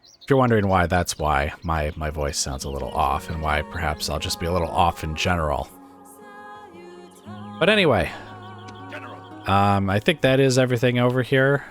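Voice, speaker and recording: A man with a raspy, weakened voice from illness, casually commentating a strategy game and thinking aloud with plenty of hesitant fillers. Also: low voice, expressive, moderate pace, pauses often, soft background music.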